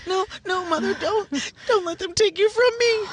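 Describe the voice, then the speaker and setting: A woman playing a young bride trapped alive, pleading in anguish in a 1930s-style radio horror drama. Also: very high-pitched. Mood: desperate. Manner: slow, anguished, tearful pleading.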